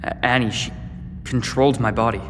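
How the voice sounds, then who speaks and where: An actor playing an earnest, anxious teenage boy in a sci-fi audio drama, speaking haltingly. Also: mid-range voice, fairly even intonation, a little hiss or hum, natural voice. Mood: bewildered. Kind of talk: audio drama acting and first-person lines. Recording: reverberant, with music underneath.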